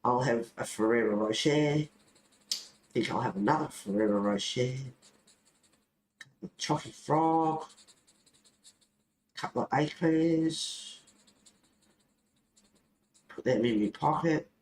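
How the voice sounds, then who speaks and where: A man chatting casually and informally, rambling along as he hosts a relaxed hobby stream. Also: on the high side, takes it slowly, pauses often. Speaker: host of a colouring club stream. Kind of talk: casual rambling chat while colouring. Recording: roomy echo, faint background noise.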